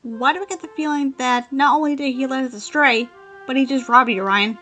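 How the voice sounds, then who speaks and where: A woman's voice: sits high, fairly quick, sharp tone, faint music behind. A woman playing a playful, affectionate female adventurer in an audio drama. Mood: wary, determined.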